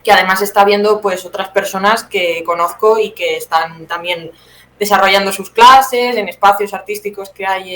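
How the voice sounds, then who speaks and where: A woman's voice: medium pitch, sharp tone. Spanish woman in her thirties, roomy sound. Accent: Spanish, from Spain. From Teruel, Spain. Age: 30s. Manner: conversational, reflective, often checks with tag questions. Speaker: interview guest, playwright. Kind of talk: casual spoken interview.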